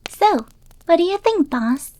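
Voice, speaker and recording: High, soft, slow devoted maid girl played by a woman. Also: sing-song, heavily acted. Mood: shy, eager. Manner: deferential, coy, eager to please. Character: sweet, submissive, obsessively devoted young yandere maid girlfriend. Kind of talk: roleplay ASMR acting.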